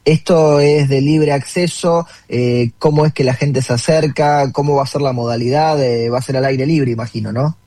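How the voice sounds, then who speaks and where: A man with a Rioplatense Argentine accent hosting a conversational interview, building long, halting questions. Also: mid-range voice, steady tone, dark tone, dry recording. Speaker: interviewer, host. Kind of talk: conversational radio-style interview.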